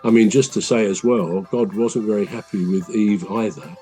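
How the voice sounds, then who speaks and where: A man with a deep voice, hosting a podcast and explaining things at length in a casual, measured way. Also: warm tone, faint music behind. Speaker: podcast host.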